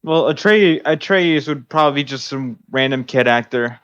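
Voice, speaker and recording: A man's voice: medium pitch, normal speed, fairly bright tone, clear, resonant tone. A man co-hosting a podcast, chatting casually in a joking, teasing manner. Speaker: podcast host. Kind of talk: casual chat. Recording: slightly dull, lo-fi voice audio.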